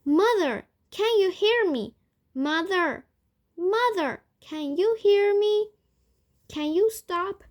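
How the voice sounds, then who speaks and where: A woman voicing an anxious grown daughter in a storybook, shrill, pleading and exclaiming. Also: lively intonation, takes it slowly, full character voice. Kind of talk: children's storybook read aloud.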